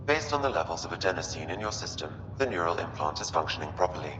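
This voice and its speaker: An actor playing a calm, courteous artificial intelligence in a sci-fi game, speaking in a measured, detached, formal way. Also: male voice. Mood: calm, clinical. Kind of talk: sci-fi video game acting. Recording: echoey, reverberant, muffled, over humming rumble.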